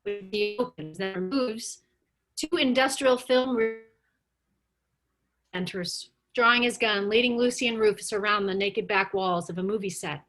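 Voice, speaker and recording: A woman reading screenplay stage directions aloud as the narrator of a table read, with animated storytelling. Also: medium pitch, measured pace, soft background music, low-quality recording.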